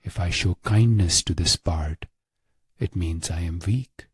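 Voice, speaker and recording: A man narrating a guided meditation in a hushed, measured way with long pauses. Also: deep voice, husky, no echo.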